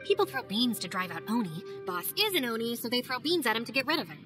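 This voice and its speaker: A deadpan young ninja deputy. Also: female voice, on the high side, fast, over music. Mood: deadpan teasing. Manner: deadpan, teasing, calm. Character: dry-witted young female ninja deputy. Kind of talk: video game cutscene voice acting.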